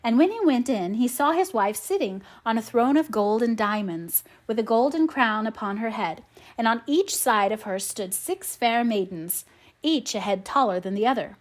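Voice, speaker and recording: A woman narrating a storybook aloud in an expressive, measured manner. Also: a little high. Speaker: audiobook narrator.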